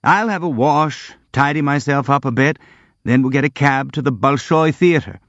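A man's voice: mid-range voice, normal speed, a bit bright, no room sound, lo-fi sound, pitched above the performer's own voice, close to an everyday voice. A man voicing an elderly, boastful army general who speaks in a grandiloquent, effusive way. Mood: pompous. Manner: grandiloquent, effusive, self-pitying.

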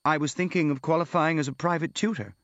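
A man's voice: on the high side, fast talker, dry recording, lo-fi sound, pitched above the performer's own voice, lightly acted. A man voicing an earnest, meek, lovelorn prince in an audiobook, with a hesitant, sincere manner. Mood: embarrassed.

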